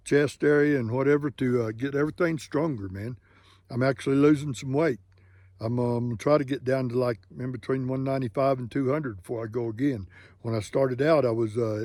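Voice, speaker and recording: A man's voice: mid-range voice, warm tone, no echo. Plainspoken man in his late sixties. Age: 60s. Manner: plainspoken, rambling, folksy with "man" and "ain't". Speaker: hiking vlogger. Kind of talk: candid casual talk to camera. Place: living in Colorado.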